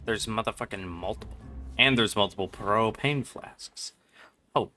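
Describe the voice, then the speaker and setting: A man's voice: fairly low pitch, normal speed, bright, forceful tone, faint music behind. A man livestreaming a video game with casual, sweary, slangy chat and reactions. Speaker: livestream gamer host.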